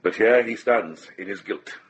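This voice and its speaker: An actor playing a shrewd, compassionate middle-aged monk in a radio drama, speaking with grave, measured deliberation. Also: male voice, on the low side, lo-fi sound, close to an everyday voice. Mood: grave, accusing.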